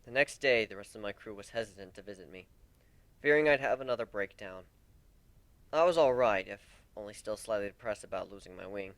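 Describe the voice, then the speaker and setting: Guy reading a story in first person, dramatic n brooding, sharp voice, very dry recording. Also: lowish voice, brisk, no echo. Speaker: narrator.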